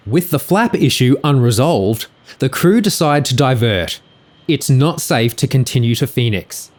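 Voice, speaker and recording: Male narrator for scripted explainer voice-over, mid-pitched, factual delivery. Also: a little mellow.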